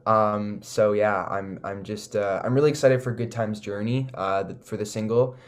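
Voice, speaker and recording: A man's voice: lowish voice, fairly even intonation, moderate pace. A young man with a Canadian accent chatting casually and earnestly as an interview guest, leaning on "like" and "you know". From Toronto, Canada. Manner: earnest, filler-heavy with frequent "like" and "you know". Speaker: recording artist, interview guest.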